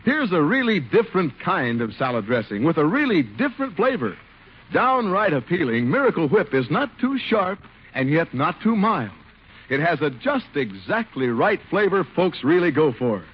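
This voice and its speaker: A man's voice: on the high side, big pitch swings, normal speed, husky. A man reading scripted ad copy and narration as a 1950s radio announcer. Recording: muffled 1950 radio recording.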